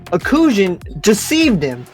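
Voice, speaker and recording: Stern suspicious demon slayer someone acting it out, very animated pitch, pointed pauses, anime roleplay, music behind. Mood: stern, accusing. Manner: deliberate, pointed, accusing, dramatic pauses. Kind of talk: improvised anime roleplay argument in a game.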